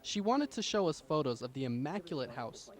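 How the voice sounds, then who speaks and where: A man's voice: a little high, sing-song, brisk. A man delivering scripted television news reports as a field reporter. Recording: outdoor location sound with traffic noise.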